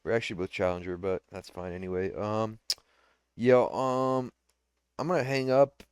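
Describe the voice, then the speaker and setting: A man trading mocking, deadpan taunts on a voice call. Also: on the low side, normal speed, clean tone, dry recording, clean studio sound. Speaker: streamer. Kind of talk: trolling banter on a voice call.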